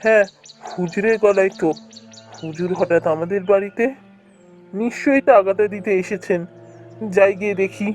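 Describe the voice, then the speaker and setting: A man's voice: high-pitched, steady tone, moderate pace, pauses often, a little mellow, faint music behind, close to an everyday voice. A man voicing a poor, worried village labourer in a cartoon fairy tale, humble and plaintive. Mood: surprised.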